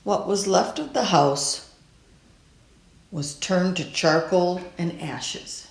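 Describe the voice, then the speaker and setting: Unhurried woman reading aloud, roomy sound. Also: fairly low pitch. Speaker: storybook reader. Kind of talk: expressive read-aloud of a children's picture book.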